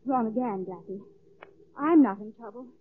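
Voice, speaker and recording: An actress playing a spirited, urgent young woman in an old radio drama, insistent and quick to retort. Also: a little high, lightly acted. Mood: tart. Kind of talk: 1940s radio detective drama acting. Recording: muffled, noisy old radio recording.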